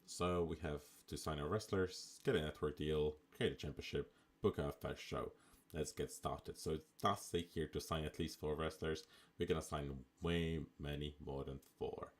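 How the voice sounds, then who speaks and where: A man with an extremely low, raspy voice talks through a game on a live stream. He keeps it relaxed and chatty, thinking aloud with plenty of casual asides into a desktop mic. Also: moderate pace, few pauses. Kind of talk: casual live gameplay commentary.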